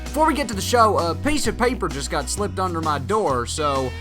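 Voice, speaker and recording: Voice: medium pitch, very animated, a little fast, lightly acted. Someone playing a breezy radio DJ over music. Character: breezy local radio DJ reading credits. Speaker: radio host. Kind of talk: radio announcer patter, reading aloud.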